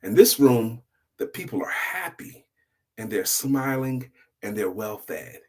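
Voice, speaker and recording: Middle-aged male storyteller, husky, widely swinging pitch, emphatic delivery with dramatic pauses. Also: expressive, darkish tone. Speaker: storyteller host. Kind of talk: dramatic, deliberate oral storytelling with a moral.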